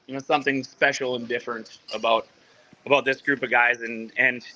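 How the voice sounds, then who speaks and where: A man's voice: medium pitch, level tone, a little fast, pushed and bright, low-quality recording. A man from a soccer club talking casually on a video call from the training ground, rambling with many restarts. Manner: rambling, full of restarts and fillers. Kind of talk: casual remote interview.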